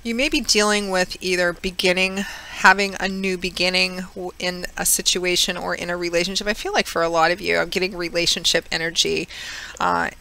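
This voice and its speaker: A woman giving a chatty tarot reading, her voice hoarse and a little froggy from a cold. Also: flat delivery, brisk, pushed and bright, low-quality recording. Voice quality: slightly froggy, squeaky. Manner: chatty, with many fillers. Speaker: tarot reader. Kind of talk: casual monthly tarot reading.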